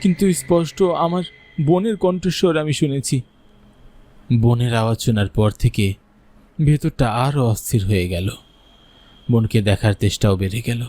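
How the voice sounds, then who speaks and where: A man's voice: medium pitch, animated, moderate pace, long pauses, mellow, close to an everyday voice. An actor playing a frightened young man who tells his own horror tale in a suspenseful way, stammering when afraid. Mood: uneasy suspense. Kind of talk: dramatic first-person horror story narration.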